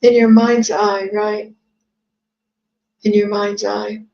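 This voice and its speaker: A woman's voice: mid-range voice, little pitch movement, slow pace, warm tone. A woman, chatting casually as she hosts a live psychic reading stream and reads out viewer comments. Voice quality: scratchy, gravelly voice. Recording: dull lo-fi stream audio.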